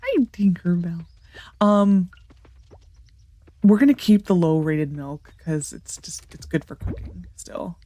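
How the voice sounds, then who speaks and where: A woman's voice: on the low side, slow, dark tone, dry recording. A woman with a congested, nasal voice, talking casually and thinking aloud as she plays a farming game, with many ums and restarts. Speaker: let's play gamer. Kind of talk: casual gameplay commentary.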